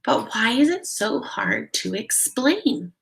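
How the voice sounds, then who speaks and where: A woman's voice: fairly high pitch, sing-song, slow pace, vocal fry, heavily acted. A woman voicing an earnest young schoolgirl in a storybook, reading out notes and verse in a sincere, sing-song way. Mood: shy, earnest.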